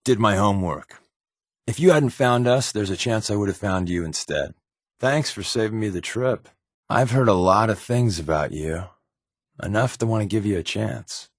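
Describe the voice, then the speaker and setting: A secretive spy companion from a video game, glib and irreverent. His voice sits a little low and is recorded close and dry. He talks in a sardonic, offhand way, like someone used to working undercover. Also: expressive, moderate pace, no room sound. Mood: earnest, confiding. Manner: sardonic, offhand, conversational delivery.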